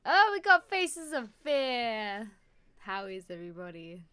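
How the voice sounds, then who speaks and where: A woman co-hosting a live stream with a hoarse voice, chatting casually and greeting viewers. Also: fairly high pitch, sing-song, unhurried, heavy background noise. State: hoarse, losing her voice.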